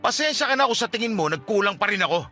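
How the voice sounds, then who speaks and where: A wounded, jealous husband and father in a radio melodrama. He talks fast in a high, forceful voice. His manner is earnest, insistent and accusing. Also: lively intonation, faint music behind. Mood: pleading. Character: hurt, pleading, jealous estranged husband and father. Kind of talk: Tagalog radio drama acting.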